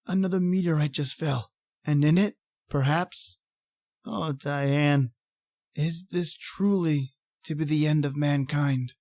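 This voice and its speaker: A man playing a desperate, grieving man in an old-time horror radio drama, overwrought and halting. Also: on the high side, darkish tone, no echo. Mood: grieving, horrified. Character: anguished desperate man, grieving, defiant against a monster.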